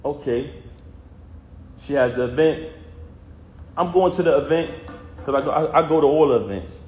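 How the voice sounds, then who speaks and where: A man's voice: fairly high pitch, moderate pace, darkish tone, a little hiss or hum. A man telling viewers a personal story in casual, heated first person, emphatic and full of street slang. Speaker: vlogger recounting a personal conflict. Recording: roomy echo.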